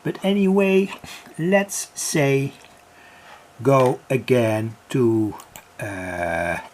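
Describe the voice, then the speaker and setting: A man giving an unscripted hobby radio demonstration, halting and thinking aloud as he works. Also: mid-range voice, a little mellow, slight background noise.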